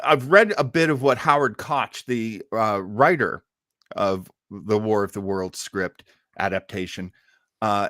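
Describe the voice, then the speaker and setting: A man's voice: mid-range voice, a bit bright, no echo. Man hosting a chatty, explanatory discussion, dry sound. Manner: conversational, explanatory, thinking aloud. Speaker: host of an online radio-history show. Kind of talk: casual discussion and commentary.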